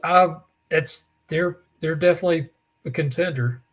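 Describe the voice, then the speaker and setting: A man with a raspy voice, co-hosting a casual sports talk show and telling stories with lots of restarts and fillers. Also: on the high side, moderate pace. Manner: rambling storyteller, many restarts and fillers. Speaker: co-host.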